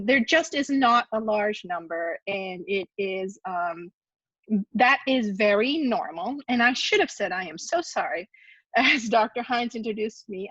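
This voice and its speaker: A woman's voice: on the high side, fairly bright tone, dry recording. A woman from Japan whose first language is Japanese, with an American accent, giving a friendly online talk as a university ombudsperson, with plenty of ums. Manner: friendly, chatty, with many ums. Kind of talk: online presentation. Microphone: video call. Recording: dull lo-fi video-call audio.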